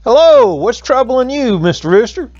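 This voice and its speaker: Guy doing a friendly country kid, folksy and lively, storybook style, music underneath. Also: very high voice, normal speed, dry recording, lo-fi sound, voice pushed up. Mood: concerned, friendly. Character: friendly twelve-year-old country boy, eager and helpful.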